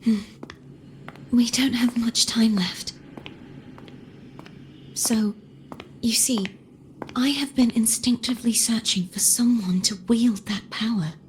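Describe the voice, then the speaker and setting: A woman voicing a gentle, solemn young woman in a video game, speaking softly and breathily with measured, weary care. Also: on the high side, steady tone, slight background noise, low-quality recording. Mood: solemn, resigned. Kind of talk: dramatic video game dialogue.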